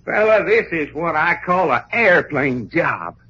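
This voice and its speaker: A folksy Southern adventurer from old radio drama. His voice is bright and husky, enthusiastic and full of slang, on a muffled recording. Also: on the high side, big pitch swings, unhurried, full character voice. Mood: excited. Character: folksy, excitable, good-natured male adventurer.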